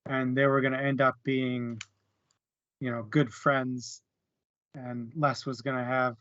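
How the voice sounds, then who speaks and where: A man's voice: medium pitch, level tone, slow, fairly bright tone, no room sound, highs cut off. A man casually discussing a book as a co-host, hesitant and searching for words, with small chuckles. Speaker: book club podcast co-host.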